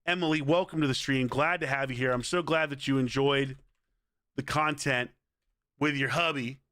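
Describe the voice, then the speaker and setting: A man with a hoarse voice, chatting casually and energetically on a game livestream and pleading with viewers. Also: on the high side, fairly bright tone, dry recording, studio quality. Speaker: game streamer.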